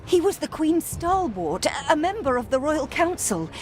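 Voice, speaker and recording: An actress playing a spirited, loyal young aristocratic daughter in a video game, earnest and emphatic. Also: female voice, high voice, sing-song, moderate pace. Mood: indignant.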